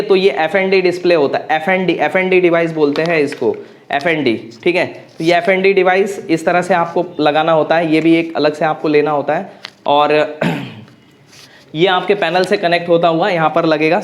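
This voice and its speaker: A man's voice: fairly high pitch, normal speed. A man explaining as a technical instructor, repeating key phrases for clarity and mixing in English technical terms. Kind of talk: practical tutorial explanation.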